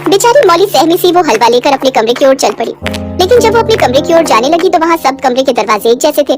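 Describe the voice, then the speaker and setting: Female storyteller for scripted moral tales; very high voice over clear background music. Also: very animated, fast. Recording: music behind the voice.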